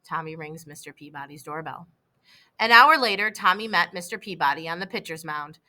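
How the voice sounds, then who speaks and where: A woman reads a children's story aloud as narrator, in an expressive, deliberate storytelling manner. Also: low-pitched, rough recording. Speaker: storybook reader.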